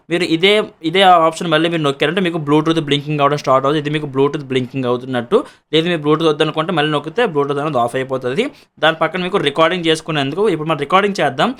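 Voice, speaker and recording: A man's voice: fairly high pitch, a bit bright. A man with a Telugu accent gives a casual product demonstration as a reviewer and seller, explaining repetitively and mixing in English words.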